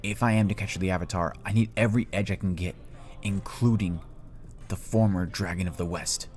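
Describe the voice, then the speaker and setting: A man's voice: low-pitched, fairly even intonation, fairly quick, darkish tone, lightly acted. A man voicing a dutiful teenage prince in a fan story, speaking in a respectful, formal and slightly hesitant way. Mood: earnest, respectful. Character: earnest, dutiful teenage prince addressing his feared father.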